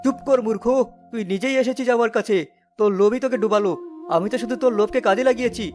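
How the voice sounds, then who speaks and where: A man's voice: very high-pitched, steady tone, fast talker, music in the background. A man playing a wicked, menacing occult sorcerer in a cartoon story, with an imperious, theatrical, incantatory delivery. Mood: scornful commanding. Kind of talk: animated cartoon story acting.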